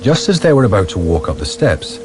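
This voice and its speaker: An actor narrating a radio crime drama in a grave, measured manner. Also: male voice, low-pitched, sing-song, noisy background. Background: music.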